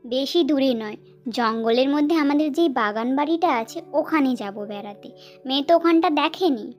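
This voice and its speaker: A woman's voice: high voice, animated, brisk, a little mellow, soft background music. A woman voicing a caring mother in a children's cartoon story, with a coaxing, homely way of talking. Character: caring mother of a schoolgirl, planning family outings.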